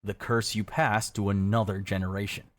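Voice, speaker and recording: A man reading dramatic scripted second-person narration in a grave, measured storytelling manner. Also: fairly low pitch, little pitch movement, fairly bright tone. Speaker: video narrator. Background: background music.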